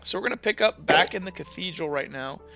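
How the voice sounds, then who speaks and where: A man working as game master, improvising recap and scene narration for a tabletop game in a halting way, circling back over his phrases. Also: on the high side, lively intonation, gravelly, pushed and bright, faint music behind.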